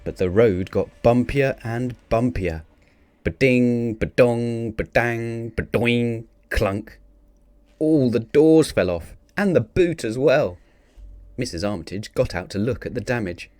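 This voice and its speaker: A man's voice: mid-range voice, lively intonation, slow, a little mellow, no echo. A man narrating a children's picture book expressively, theatrical on the sound-effect words. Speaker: storybook reader.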